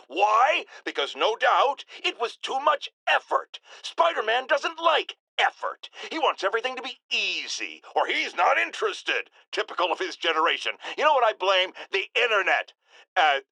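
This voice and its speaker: Pompous game-character radio ranter, middle-aged male, high forceful voice, bombastic, indignant, theatrical emphasis. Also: gravelly, dry recording, exaggerated voice. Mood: blustering. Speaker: talk radio host. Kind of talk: bombastic opinion radio monologue.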